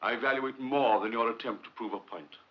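An actor playing a cautious, responsible professor in a 1950s film drama, measured, formal and firm. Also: male voice, mid-range voice, lightly acted. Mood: concerned, firm. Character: cautious, responsible male professor heading rocket project. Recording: muffled 1950s film soundtrack.

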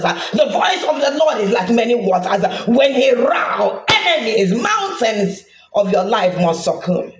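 A preacher delivering a fervent, declamatory charismatic prayer sermon, repeating phrases and breaking into speaking in tongues. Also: mid-range voice. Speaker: preacher leading an online prayer broadcast. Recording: echoey room sound.

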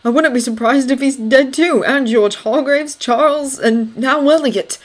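A woman's voice: high voice, measured pace, pitched above the performer's own voice. A woman reading the part of a grieving young male servant in an audiobook, cross and sarcastic, with curt British slang. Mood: agitated, cross.

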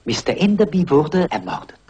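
An actor playing a cautious, deferential gentleman who helps a lady sleuth in a radio play, polite, hesitant and mild. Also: a little high, sing-song, normal speed, breathy edge, full character voice. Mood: cautious concern. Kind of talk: German crime radio play acting.

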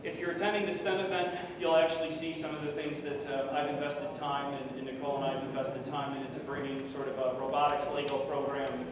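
A middle-aged man giving a casual conference talk, loud and chatty with many fillers. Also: mid-range voice, level tone, quick pace, hardly any pauses, a crowd in the background. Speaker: conference speaker. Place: large conference room with projector. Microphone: podium microphone from far off. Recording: echoey room, distant mic, noisy.

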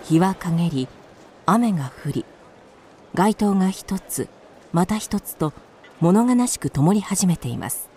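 A woman's voice: on the low side, expressive, takes it slowly, a little mellow, slight background noise. A woman narrating a radio drama from a script as its storyteller.

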